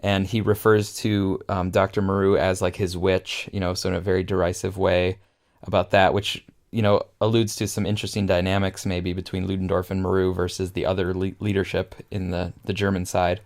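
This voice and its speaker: A man's voice: low voice, little pitch movement, fairly quick. A man co-hosting a podcast, analysing film in a casual conversational way. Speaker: podcast co-host.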